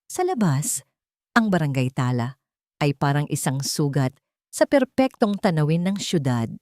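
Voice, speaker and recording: A woman's voice: sits low, very animated, a little slow, darkish tone, no room sound, clean studio sound. A woman narrator reading a scripted romantic drama as a storyteller.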